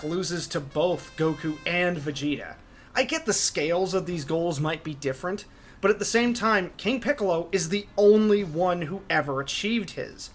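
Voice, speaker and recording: Emphatic male video essayist, soft music behind. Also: high-pitched. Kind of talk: opinionated video essay commentary.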